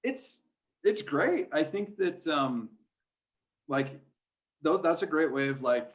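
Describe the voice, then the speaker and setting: A man's voice: a little high, expressive, takes it slowly. A man speaking as a guest sports announcer in a casual podcast interview, rambling and hesitant as he thinks aloud.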